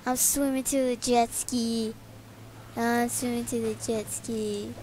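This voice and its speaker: A woman's voice: on the high side, level tone, takes it slowly, a little mellow, slight background noise, low-quality recording. A young girl playing a video game beside her father, eagerly narrating every move she makes. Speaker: child co-player. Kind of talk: excited gameplay chatter.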